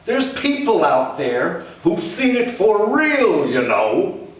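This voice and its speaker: A man's voice: high-pitched, huge pitch swings, slow, voice pushed up, exaggerated voice. A man voicing an angry, belittling middle-aged drunk father, ranting and sarcastic, heard in an echoey room from far off.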